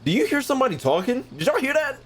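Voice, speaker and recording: A man's voice: very high voice, big pitch swings, rapid-fire, fairly bright tone. A man chatting casually to his livestream audience while gaming, using slang and swearing freely. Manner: slangy, swearing, talking to chat. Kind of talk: casual gaming stream chat. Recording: game music behind the voice. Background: video game music and sounds.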